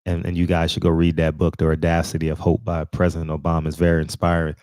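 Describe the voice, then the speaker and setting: A man's voice: low-pitched, monotone, fast, darkish tone, no room sound, clean studio sound. A man in his forties giving a casual, rambling commentary monologue as host of his own channel, full of fillers and restarts. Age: 40s.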